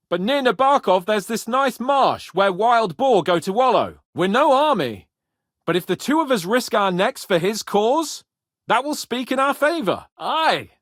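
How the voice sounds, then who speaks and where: A brash young nobleman for a medieval video game. His voice sits high and swings widely, full of lordly self-importance and spirited confidence. The sound is very dry. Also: a bit bright, no echo, exaggerated voice. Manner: pompous, spirited, swearing. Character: cocky young Bohemian noble lord, boastful, foul-mouthed, eager.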